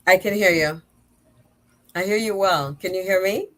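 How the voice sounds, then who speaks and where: A woman's voice: medium pitch, moderate pace. A middle-aged woman with a Haitian accent, a native Creole speaker, answering conversationally as an interview guest. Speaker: guest, nonprofit executive director. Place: remote video call.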